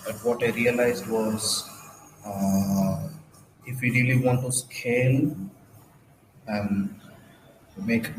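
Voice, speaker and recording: A man with a fairly low voice and a cold talks on a casual livestream, halting and repeating himself as he goes. His delivery stays flat and level. He is heard in an echoey room with a faint hiss of noise behind him. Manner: halting, repeats words and restarts often. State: has a cold, slightly hoarse. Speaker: crypto project founder hosting a livestream.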